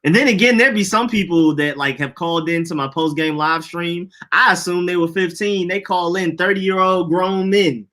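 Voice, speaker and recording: Young male co-host, bright tone, a bit high, animated and jokey. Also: moderate pace, few pauses. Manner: laughs often, animated and joking. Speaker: podcast co-host. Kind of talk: casual chat.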